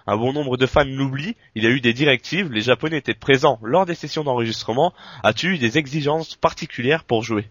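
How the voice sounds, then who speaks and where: A man's voice: mid-range voice, a little fast, fairly bright tone, no echo. Man interviewer, dry muffled sound. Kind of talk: interview questions.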